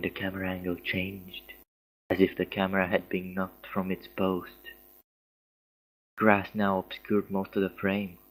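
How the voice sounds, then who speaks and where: A man reading a scary story aloud in a deliberate way, occasionally stumbling over words. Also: low voice, fairly bright tone, husky, low-quality recording. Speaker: story reader. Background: faint background music.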